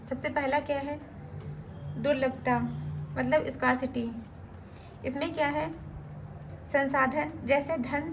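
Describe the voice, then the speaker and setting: A woman with a high voice, made hoarse and gravelly by a cold, talks through lesson notes as a teacher. She keeps posing a question to herself and then answering it. A faint hiss of noise sits under her voice. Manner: asks herself rhetorical questions before each answer. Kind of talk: exam-prep lesson reading from notes.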